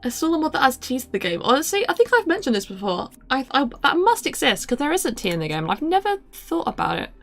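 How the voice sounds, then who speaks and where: A young woman streaming a game, chatting casually and rambling with self-mocking asides and little hummed tunes, her voice deeper than many women's. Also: big pitch swings, fast, hardly any pauses. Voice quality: fairly deep for a woman. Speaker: livestreamer.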